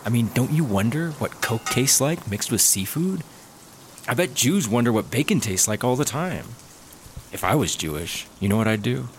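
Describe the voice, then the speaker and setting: An actor playing a breezy, argumentative, cocky slacker cop in a sci-fi comedy audio drama. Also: male voice, medium pitch, lively intonation, normal speed, noisy background, lightly acted. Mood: mischievous. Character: cocky, laid-back, hungry buddy-cop slacker.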